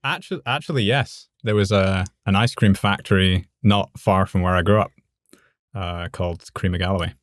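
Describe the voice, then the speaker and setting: A man from Scotland with a Scottish accent, a podcast guest speaking in a measured, slightly hesitant way. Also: sits low, dry recording, studio quality. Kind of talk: casual conversation.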